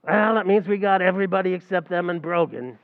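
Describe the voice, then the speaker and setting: An actor playing a scheming, wisecracking tavern manager in a 1940s-style radio comedy. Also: male voice, sits high, quick pace, narrow, tinny audio. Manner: wisecracking, mangles grammar. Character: fast-talking scheming male tavern manager.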